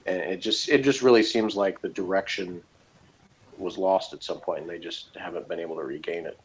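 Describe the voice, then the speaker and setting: Low-pitched man, faint background noise, casual opinionated chat, rambling phrasing. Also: a little fast, rough recording. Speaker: review show co-host.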